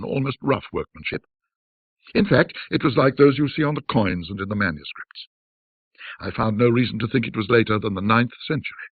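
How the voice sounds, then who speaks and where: A man narrating a classic ghost story in a measured, dramatic, old-fashioned storytelling manner. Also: medium pitch, slightly rough, dry recording, narrow, tinny audio.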